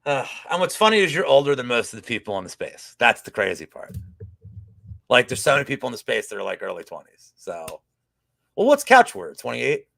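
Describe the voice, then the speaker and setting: A man's voice: medium pitch, wildly swooping pitch, a little fast, vocal fry, pushed and bright. A man in his forties hosting a casual betting podcast, joking in a loose, rambling way. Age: 40s. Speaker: podcast host.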